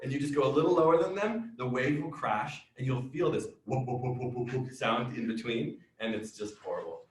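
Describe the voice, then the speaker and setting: Excitable man teacher in an echoey room. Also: medium pitch, fairly quick, a little hiss or hum. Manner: chatty and excitable, explaining with lots of fillers. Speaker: music teacher. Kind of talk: teaching a children's science class online. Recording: echoey room, distant mic.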